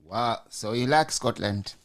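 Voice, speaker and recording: A man's voice: medium pitch, a little slow, a little hiss or hum. Guy hosting a podcast from home, rambly questions, keeps restarting, big mic. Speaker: podcast host. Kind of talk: casual interview. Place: home room, video call. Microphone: large studio mic on arm.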